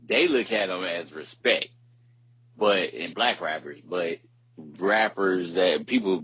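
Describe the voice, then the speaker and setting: A man's voice: low-pitched, a bit bright, slightly rough, no room sound. A man co-hosting a podcast in casual, slangy, profane chat, often repeating and circling back on his phrases. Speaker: podcast co-host. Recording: dull, lo-fi audio.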